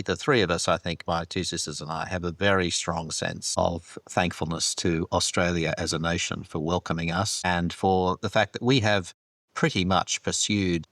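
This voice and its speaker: A man in his fifties with a Canadian accent speaks in a very low, unhurried voice. He answers questions thoughtfully, breaking into soft laughs now and then. The sound is dry and close. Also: dry recording. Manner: measured, thoughtful, laughs softly. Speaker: archbishop guest. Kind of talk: reflective interview answers.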